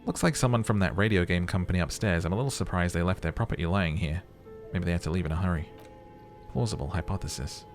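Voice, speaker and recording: Dry, low-voiced police lieutenant played by a man. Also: fast, faint music behind. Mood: matter-of-fact. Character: dry, smug, observant male police lieutenant.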